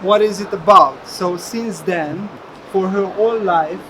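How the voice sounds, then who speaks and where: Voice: mid-range voice, measured pace. A gallerist explaining an artist's work informally, in a storytelling way. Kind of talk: informal spoken explanation at an art fair. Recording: noisy fair hall background. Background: ambient fair hall noise.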